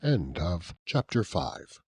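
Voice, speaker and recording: A man narrating a classic novel as an audiobook, in an expressive, dramatic storytelling manner. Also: sits low, slow, breathy edge.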